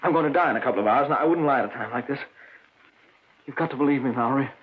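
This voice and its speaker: A man's voice: medium pitch, very fast, lightly acted. An actor playing a condemned man who stammers as he pleads his innocence, in a 1940s radio drama. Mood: desperate pleading. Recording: muffled old radio transcription.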